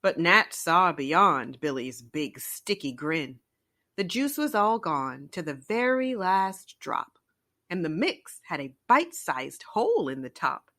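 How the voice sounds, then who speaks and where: A woman storyteller reading a children's picture book aloud in an expressive narrating style. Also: lowish voice, takes it slowly.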